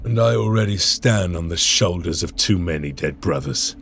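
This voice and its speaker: Deep gravelly space marine commander. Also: male voice, animated, a bit bright, soft background music, heavily acted. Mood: grave, brooding. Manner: solemn, measured, formal. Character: grave weary space marine commander, duty-bound and resolute. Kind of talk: dramatic scripted game acting.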